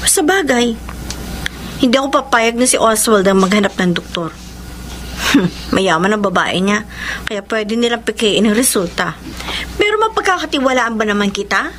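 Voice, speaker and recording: High-pitched, animated scheming young mother. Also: normal speed, a little hiss or hum. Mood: suspicious. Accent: Filipino. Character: scheming young mother pressing a paternity claim. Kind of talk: Filipino radio drama acting.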